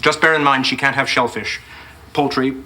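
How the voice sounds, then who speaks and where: Actor as fussy sitcom gentleman, very bright voice. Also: medium pitch, steady tone, lightly acted, rough recording. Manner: precise, measured listing. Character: fastidious, nervous gentleman in a sitcom farce.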